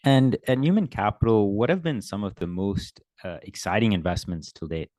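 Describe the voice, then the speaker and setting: A man's voice: on the low side, normal speed, darkish tone, no echo, clean studio sound. A man hosting a podcast, reading a scripted upbeat introduction and asking questions. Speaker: podcast host.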